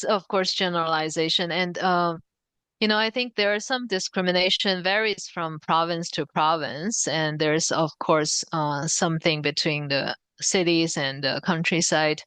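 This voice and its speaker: A woman with a Chinese accent speaks in a lowish, smooth and resonant voice. She is an author being interviewed, thinking aloud with frequent pauses and soft fillers. The sound is dry and close. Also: no room sound. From Shanghai, China. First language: Shanghainese. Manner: thoughtful, many ums and pauses. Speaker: author guest. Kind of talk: conversational interview.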